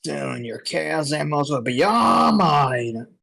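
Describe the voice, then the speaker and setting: A man voicing a sneering, gloating mad-scientist villain with a gravelly, husky voice in an amateur comedic fanfiction reading. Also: sing-song, takes it slowly, bright, forceful tone, full character voice. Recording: old-timey low-quality mic, music.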